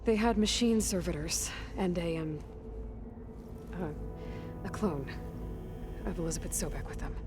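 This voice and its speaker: A woman playing a determined, wary young hunter in a video game, asking earnest questions and haltingly thinking aloud. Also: fairly low pitch, over music, lightly acted. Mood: questioning, focused. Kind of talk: naturalistic video game acting.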